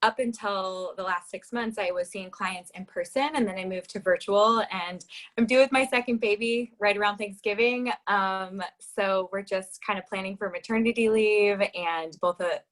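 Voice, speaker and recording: A young woman from Orange County joins a live online interview as a guest nutritionist. Her voice sits at a middle pitch, and she talks in a bubbly, enthusiastic way with lots of laughter. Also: fairly even intonation, moderate pace, fairly bright tone. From Orange County, California. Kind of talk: livestream interview.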